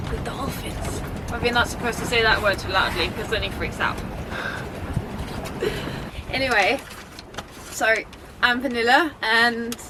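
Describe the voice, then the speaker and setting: A woman's voice: a little high, background noise. A young woman from Denmark, co-hosting a sailing vlog in a light, chatty way. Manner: chatty, light, laughs easily. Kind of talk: casual vlog introduction.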